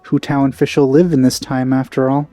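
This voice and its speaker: A man's voice: mid-range voice, level tone, normal speed, dark tone. A man giving casual let's play commentary, with dry, grumbling asides. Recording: game music behind the voice.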